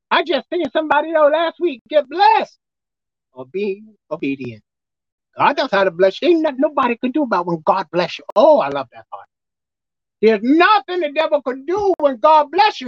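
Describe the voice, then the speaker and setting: A man with a squeaky voice preaching a sermon as pastor, emphatic and forceful. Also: no room sound, muffled recording. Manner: emphatic, pitch leaping up and down.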